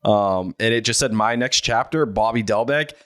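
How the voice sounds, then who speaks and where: A man's voice: lowish voice, moderate pace, a bit bright, dry recording. Man chatting earnestly on a podcast, dry sound. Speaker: podcast co-host. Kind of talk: casual sports banter.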